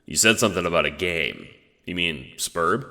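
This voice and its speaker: Man playing a curious, low-voiced ghost. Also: lively intonation, normal speed, pushed and bright, big-room sound, full character voice. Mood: questioning. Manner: hesitant, questioning. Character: newly dead player, curious, mild, hesitant. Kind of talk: audio drama dialogue.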